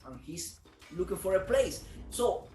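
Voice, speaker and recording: A man's voice: high voice, big pitch swings, a little slow, dark tone, roomy sound. A man from Cuba, a business owner answering interview questions earnestly, repeating words as he searches for them. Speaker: martial arts studio owner.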